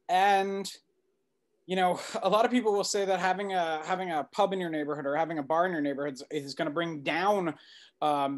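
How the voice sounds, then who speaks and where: A middle-aged man, a documentary director, answering interview questions in a conversational storytelling way on a video call. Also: on the high side. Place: indoor room at home, video call.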